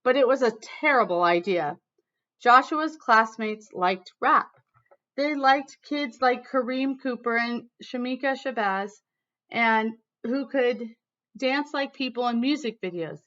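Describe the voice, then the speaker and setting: An American woman teacher reading a storybook expressively to students, pausing to explain words. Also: fairly high pitch, a bit bright. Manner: pauses to explain words to children. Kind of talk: expressive read-aloud with explanatory asides. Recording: dull, lo-fi sound.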